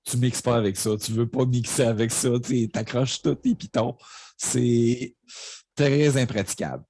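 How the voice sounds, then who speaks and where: A man's voice: mid-range voice, unhurried, mellow, no room sound, clean studio sound. A man with a Quebec French accent, a guest DJ telling stories in a casual livestream chat at his home streaming setup. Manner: laid-back rambling storyteller.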